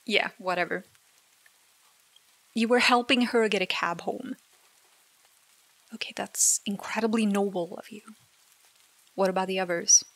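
A woman playing a jealous, hurt girlfriend in an ASMR roleplay argument, halting and exasperated, with sarcastic edges. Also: mid-range voice, fairly even intonation, a bit bright, no echo, rough recording. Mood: sarcastic, upset. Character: jealous, hurt young girlfriend arguing with partner. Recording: dry close mic, rain behind. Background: rain.

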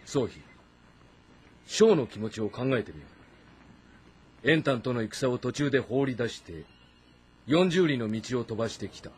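Stern, lively-pitched warlord. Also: male voice, unhurried, long pauses, husky. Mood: stern, calculating. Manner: authoritative, terse commands. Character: stern, shrewd warlord commanding his army, instructing his son. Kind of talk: Japanese historical game drama.

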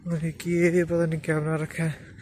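A man's voice: on the high side, monotone, normal speed, a little mellow, slight background noise. Chatty young man vlogging outdoors. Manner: chatty, addresses viewers as guys. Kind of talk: casual travel vlog narration. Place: outdoors by the sea, then indoors at home.

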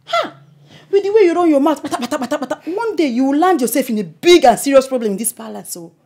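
A woman's voice: fairly high pitch, sing-song, normal speed, dark tone, husky. An actress playing a woman who scolds a friend for talking too much. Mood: scolding. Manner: scolding, teasing. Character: scolding palace woman warning her friend.

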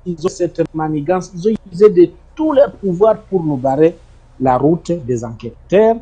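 A man from the Democratic Republic of the Congo, an interview guest, answering in an insistent way with emphatic rises and low trailing ends. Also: animated, moderate pace, dark tone, husky. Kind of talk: defensive testimony in an interview.

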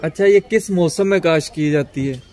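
A man's voice: fairly high pitch, normal speed, darkish tone. A man in his thirties hosting a garden vlog, politely interviewing a gardener and repeating each answer back for viewers. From Pakistan. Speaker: vlogger host. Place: outdoor walled kitchen garden.

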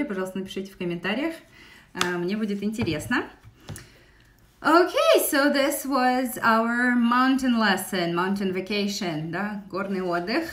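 A young woman with a Russian accent, teaching an English lesson on a live stream, speaking into a phone's front camera in a small room and switching between English and Russian with many fillers. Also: mid-range voice. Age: young adult. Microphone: phone held close, front camera.

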